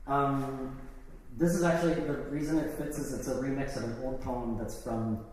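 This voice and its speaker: A man's voice: mid-range voice, fairly even intonation, normal speed, darkish tone. Man reading poetry in an echoey hall. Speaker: poet reading own work. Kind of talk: live poetry reading with casual introductions. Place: live event in an art gallery. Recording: echoey, noisy hall, distant microphone.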